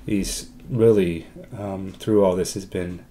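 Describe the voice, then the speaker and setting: A man in his forties speaking haltingly and reflectively in a documentary interview. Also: deep voice, steady tone, mellow, a little hiss or hum. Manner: halting, hesitant delivery with long pauses. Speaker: adoptive parent, interviewee. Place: room at home, indoors.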